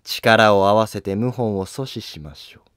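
A man voicing a dignified, gently firm empress with polite formal speech in a historical drama. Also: on the low side, normal speed, smooth, dry recording, studio quality, lightly acted. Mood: resolute. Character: dignified empress consort, composed, gently scolding wife. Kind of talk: scripted historical drama dialogue.

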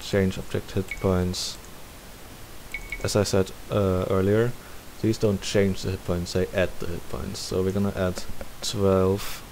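Low-voiced man giving a game tutorial. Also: slow pace, gravelly, low-quality recording. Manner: thinking aloud step by step. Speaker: tutorial presenter. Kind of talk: casual screen-recorded game tutorial. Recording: steady hiss behind the voice.

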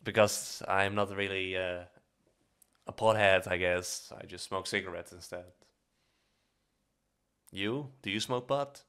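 A man's voice: sits low, steady tone, unhurried, long pauses, fairly bright tone, clean tone. A young man in his twenties with a Dutch accent, chatting casually on a live stream into a studio mic, rambling with lots of fillers and swearing. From Netherlands. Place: small dark room indoors. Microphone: studio mic on a boom arm.

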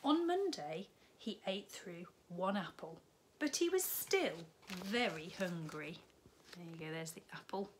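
A woman in her thirties reads a picture book to young children at a slow, unhurried pace. Her voice sits a little low, and she lifts it brightly on key words. The webcam recording carries a faint background hiss. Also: poor audio. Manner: slow, expressive storytime reading with lively rises on key words. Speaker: primary school teacher. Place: living room at home, indoors.

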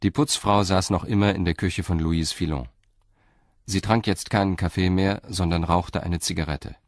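Very deep-voiced male narrator. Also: no room sound. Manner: even, measured storytelling. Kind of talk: scripted narration.

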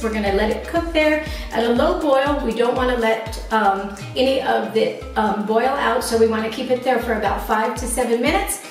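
A woman in her forties hosting a cooking show, talking viewers through each step in an upbeat, chatty way in her home kitchen. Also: fairly high pitch. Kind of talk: cooking demonstration to camera. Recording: very echoey kitchen, voice a bit distant.

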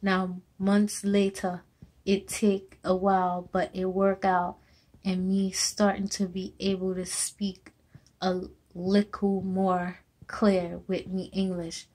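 A young woman from Jamaica with a Jamaican accent, slowly reading a personal essay to a webcam in a small room at home. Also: mid-range voice, monotone, darkish tone. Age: young adult. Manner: slow, halting reading with restarts. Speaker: student. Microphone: webcam or laptop camera close up.

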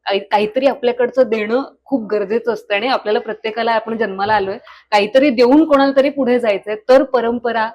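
A woman's voice: a little high, darkish tone. A young woman from coastal Konkan, earnestly explaining her work in a conversational interview. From Sindhudurg, Konkan, India. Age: young adult. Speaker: playwright and theatre artist being interviewed.

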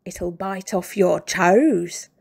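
A woman voicing a nasty, scheming old husband in a storybook, speaking in a slow, sly and taunting way. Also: on the low side, gravelly, a little mellow, husky, full character voice.

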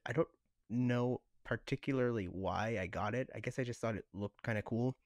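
A man's voice: mid-range voice, dry recording, clean studio sound. A man chatting casually as a podcast co-host, with a hesitant, conversational way of talking. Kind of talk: casual chat.

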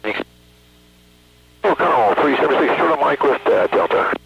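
A man's voice: a little high, animated, normal speed, gravelly, husky. A man, an airline pilot, making brief procedural calls to air traffic control over radio. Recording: dull narrowband cockpit radio.

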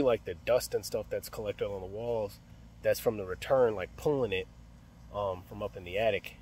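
A man's voice: lowish voice, a little mellow, breathy edge. Young man thinking aloud on the job. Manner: thinking aloud, many fillers and restarts. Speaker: HVAC technician. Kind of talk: casual work vlog narration. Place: outdoors by an AC unit and indoors in a closet. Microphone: phone camera held close.

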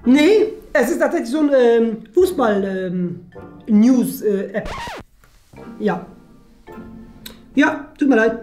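A man's voice: extremely high pitch, lively intonation, slow, long pauses, darkish tone. A young man hosting a video, answering viewer questions in playful, teasing casual banter. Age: young adult. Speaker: YouTube host. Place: furnished indoor room, seated at a table. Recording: roomy echo with music underneath.

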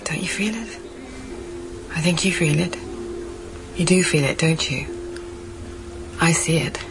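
A low, soft female voice plays a lonely businesswoman who wants her former lover back. She is coaxing and pointed in an intimate radio drama, over clear music. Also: measured pace. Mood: longing, pressing. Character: lonely, persistent female colleague pursuing a married former lover.

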